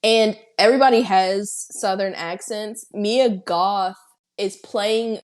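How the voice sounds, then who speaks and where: A woman co-hosting a podcast, chatting casually as she retells a film's plot with excited asides. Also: mid-range voice, slow pace. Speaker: podcast co-host. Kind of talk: casual film-recap chat.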